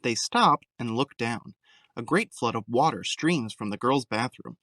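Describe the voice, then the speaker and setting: A man's voice: medium pitch, lively intonation, a little slow, a bit bright, no echo, studio quality. A man narrating a scripted audio drama, describing the scenes as a storyteller.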